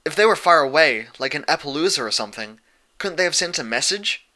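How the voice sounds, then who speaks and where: A brash young female pony, acted out by a male narrator in an audiobook. The voice is raised in pitch and very sharp, with an excitable, impatient push behind it. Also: a little fast. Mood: worried, pressing. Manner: protesting, blunt, excitable. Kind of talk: fan fiction audiobook character voice.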